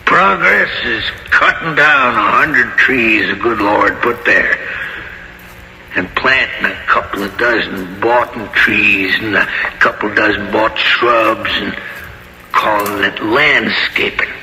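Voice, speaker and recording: A man playing a wry, folksy old countryman with a rural American accent and deliberate, sardonic delivery in a radio drama. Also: on the low side. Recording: old radio recording, reverberant, faint hiss.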